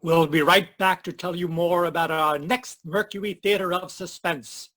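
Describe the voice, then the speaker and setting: High-pitched old-time radio announcer man as acted. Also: steady tone, moderate pace, background noise, close to an everyday voice. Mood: formal, showy. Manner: formal, showy. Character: formal 1940s male radio network announcer.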